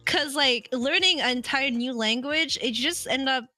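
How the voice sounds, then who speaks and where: A woman's voice: sits high, normal speed, sharp tone, no room sound, soft background music. A woman in casual stream chat, rambling with many restarts and giving blunt opinions. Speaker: streamer co-host.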